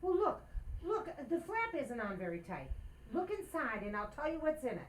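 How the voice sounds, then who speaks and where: An actress playing a persistent, excitable young housewife in an old-time radio comedy, in a roomy, noisy recording. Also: female voice, high-pitched, normal speed. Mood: pleading, flustered. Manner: pleading, excitable, exclaiming. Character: flustered, persistent young housewife, scheming and indignant. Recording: roomy echo with clear background noise.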